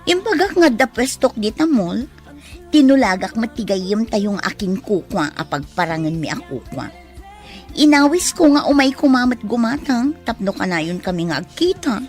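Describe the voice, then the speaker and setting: Woman acting a lively, scheming flirt. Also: fairly high pitch, moderate pace, faint music behind. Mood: scheming, pleased. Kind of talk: dramatized audio story acting.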